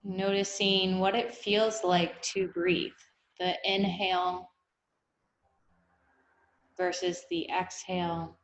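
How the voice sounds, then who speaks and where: A woman guiding a meditation, speaking in an unhurried, gently instructive way with long pauses. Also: on the low side, little pitch movement. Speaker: meditation guide. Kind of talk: guided meditation, body scan. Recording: echoey room.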